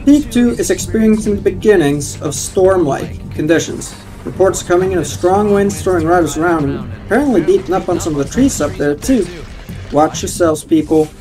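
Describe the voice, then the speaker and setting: Young man, upbeat mock DJ read on a headset, slightly high voice, faint music behind. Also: rough recording. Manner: upbeat announcer delivery, playful. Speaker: streamer. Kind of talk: mock radio DJ announcing. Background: faint game music.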